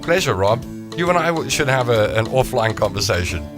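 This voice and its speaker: A man's voice: mid-range voice, big pitch swings, a little fast. A middle-aged man hosting a live show, chatting casually and self-mockingly as he reads out viewer comments. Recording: music playing behind the voice.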